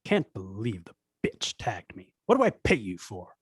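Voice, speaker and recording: A sneering, arrogant tycoon villain, acted by a man. The voice is husky and swings in pitch, with haughty scorn, in a superhero script table read. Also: huge pitch swings, moderate pace, exaggerated voice. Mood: irritated.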